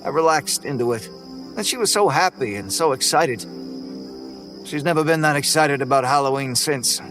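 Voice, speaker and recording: Guy telling a spooky story, laid back folksy tone, soft music underneath. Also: on the high side, moderate pace. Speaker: narrator. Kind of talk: first-person horror story narration.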